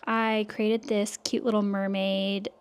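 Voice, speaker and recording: Soft-spoken woman chatting about her art. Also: mid-range voice, level tone, slow, clear, resonant tone. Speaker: illustrator vlogger. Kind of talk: casual talk to camera. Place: home art studio. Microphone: external microphone.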